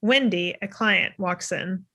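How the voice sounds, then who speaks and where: A woman's voice: mid-range voice, slow pace, sharp tone. A young woman narrating stage directions from a script over a video call. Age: young adult.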